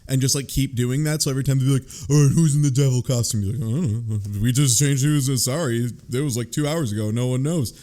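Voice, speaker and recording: A man with an average-pitched voice chats casually on a podcast. He rambles through a retelling in slangy, amused phrases, riffing as he goes. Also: fast talker, few pauses, mellow. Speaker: book club podcast panelist.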